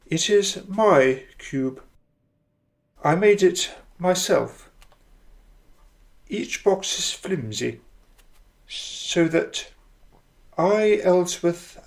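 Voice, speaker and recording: Radio drama: eccentric, self-important poet. Declamatory, high-set, unhurried and theatrical. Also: male voice, creaky, exaggerated voice. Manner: declamatory, solemn.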